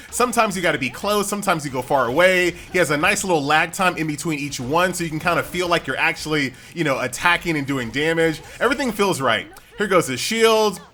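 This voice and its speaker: A man's voice: a little high, bright, forceful tone. An American man giving casual, chatty gameplay commentary in an informal, conversational way. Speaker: gaming YouTuber.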